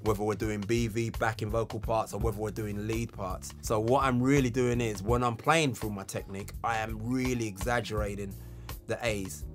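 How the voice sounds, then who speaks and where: A man's voice: on the low side, steady tone, moderate pace. A man giving an instructional tutorial as a music tutor, explaining technique with emphasis on key words.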